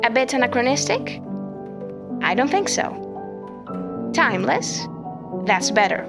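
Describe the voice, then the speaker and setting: A woman reading a scripted introduction with a high, very lively voice that rises and falls a lot. Her reading is reflective and expressive, with music clearly playing beneath her. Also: measured pace. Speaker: show host. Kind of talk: scripted narration.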